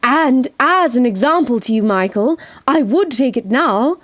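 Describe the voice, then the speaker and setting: A woman's voice: high voice, lively intonation, measured pace, no echo. A woman acting a pompous, blustering, childishly vain Edwardian father in an audio drama, with melodramatic exclamations. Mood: pompous, stubborn.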